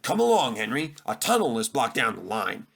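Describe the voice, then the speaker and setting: Storybook railway boss, voiced by a man: authoritative, businesslike, bright and varied in pitch. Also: wildly swooping pitch, normal speed, creaky, slightly rough, full character voice. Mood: commanding. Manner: commanding, businesslike. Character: authoritative male railway controller giving orders in children's story.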